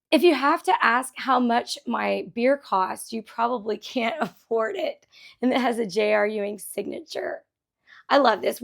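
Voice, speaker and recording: A middle-aged woman chats excitedly about her vintage finds, her voice pitched high. There is a gravelly fry in her tone, and it sounds a little scratchy after a night of singing along at a concert. She laughs easily as she talks. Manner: chatty and enthusiastic, laughs often. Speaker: vlog host. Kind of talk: casual thrift haul chat.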